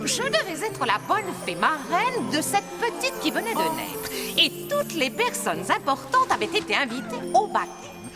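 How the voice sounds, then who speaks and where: A woman voicing an excitable fairy godmother turned scrawny owl in a French-dubbed cartoon, theatrical and exclaiming. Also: very high voice, moderate pace, loud music behind the voice, full character voice. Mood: dramatic recounting.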